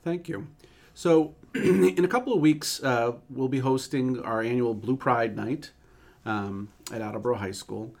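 A man's voice: mid-range voice, measured pace, a little mellow. A man, a school superintendent, hosting a podcast and asking questions in a friendly, slightly hesitant way.

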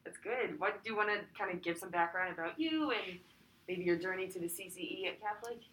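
A woman's voice: mid-range voice, fast talker, fairly bright tone, rough recording. Friendly woman co-hosting a podcast. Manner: friendly, laughs along, encouraging. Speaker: podcast co-host. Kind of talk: casual podcast chat.

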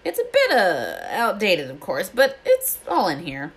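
Lady doing a big friendly gamekeeper guy from a storybook, rustic n blustery, proud, pitch jumps around a lot. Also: wildly swooping pitch, a little slow, breathy edge, full character voice. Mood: proud, eager. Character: big bearded gamekeeper, friendly, proud, easily flattered man.

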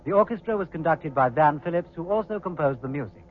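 A man's voice: fairly high pitch, expressive, fast. Male announcer, formal scripted credits, orchestral music behind, narrow-band vintage radio sound. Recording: thin, band-limited 1950s radio recording.

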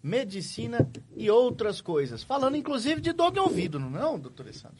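A man's voice: sits high, big pitch swings, brisk, background noise. A man hosting a casual medical podcast, playful and joking. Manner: playful, joking, loud greetings. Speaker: podcast host.

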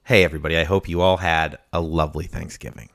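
A man's voice: a bass voice, steady tone, quick pace, vocal fry, fairly bright tone. A man co-hosting a podcast in casual banter, playful and repeating himself. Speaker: podcast co-host.

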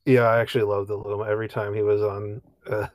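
Lowish-voiced man chatting casually, dry sound. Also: flat delivery, quick pace, no echo. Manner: conversational, peppers talk with "like" and hedging. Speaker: podcast co-host. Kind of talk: casual movie chat.

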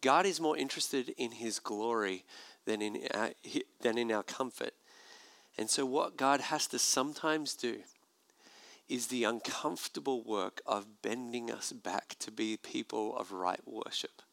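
Man, pastor, conversational sermon, gravelly, dry room. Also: mid-range voice, unhurried, dry recording. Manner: conversational, animated preaching with asides.

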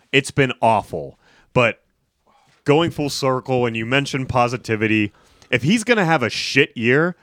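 A man co-hosting a sports podcast, opinionated and animated. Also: medium pitch, no room sound, clean studio sound. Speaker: podcast co-host. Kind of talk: casual sports chat.

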